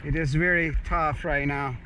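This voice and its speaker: A man fishing from a kayak, giving excited casual commentary to viewers, recorded on a head-mounted action camera outdoors on the water. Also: a little high, moderate pace. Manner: excited, talks to viewers in short bursts. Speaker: fishing vlogger. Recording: faint wind and water noise outdoors.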